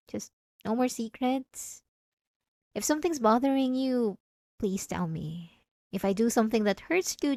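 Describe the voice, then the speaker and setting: A woman's voice: fairly high pitch, darkish tone, dry recording, clean studio sound. A woman playing a possessive, obsessive wife in an intimate, emotional ASMR roleplay, speaking straight to the listener. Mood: soft, forgiving, clingy. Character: possessive, obsessive yandere wife, clingy and menacing.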